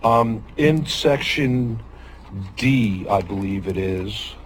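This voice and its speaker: An older man, a former auditor, giving public comment at a meeting, methodically reading policy wording and pausing with frequent ums and restarts. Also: lowish voice, slight background noise.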